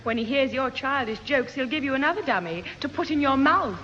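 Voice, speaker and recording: An actress playing an exasperated, teasing older sister in a 1960s radio sitcom. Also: female voice, high-pitched, expressive, brisk, very noisy. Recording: muffled 1960s radio recording, hall, audience.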